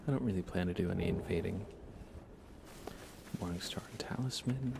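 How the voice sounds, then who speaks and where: A man doing relaxed ASMR game commentary, casually rambling and thinking aloud as he plays. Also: sits low, moderate pace, vocal fry, noisy background. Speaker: livestreamer.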